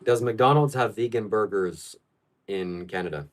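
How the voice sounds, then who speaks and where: A man from Ukraine hosts a casual live stream, reading chat questions and answering them bluntly. His voice sits a little low, and his opinions come out flat and certain. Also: a little slow.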